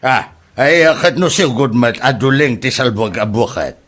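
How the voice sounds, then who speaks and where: A man's voice: mid-range voice, animated, normal speed, fairly bright tone. Man playing an exasperated older Ilocano village father. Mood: aggrieved. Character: aggrieved older village father accused over a land boundary. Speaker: actor in a comedy drama. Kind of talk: Ilocano comedy drama acting.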